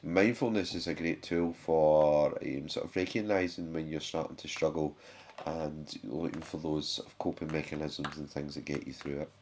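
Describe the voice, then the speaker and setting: A man's voice: a bass voice, steady tone, normal speed, a little mellow, a little hiss or hum. A man with a sore throat, hosting his own channel and talking casually to camera, with many fillers and restarts. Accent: British. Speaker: YouTube channel host.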